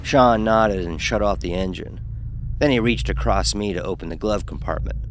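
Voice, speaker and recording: A cocky, sneering young frat pledge played by a man narrates a horror tale in a low voice. He is sarcastic and dismissive, and there is clear ambient noise behind him. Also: normal speed, natural voice. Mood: storytelling. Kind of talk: first-person horror story narration with acted dialogue.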